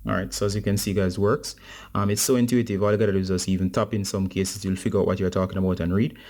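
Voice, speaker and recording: A man's voice: low-pitched, flat delivery, fast talker, a little mellow. A man hosting a casual step-by-step phone tutorial, speaking informally and instructively to his viewers. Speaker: tech tutorial host.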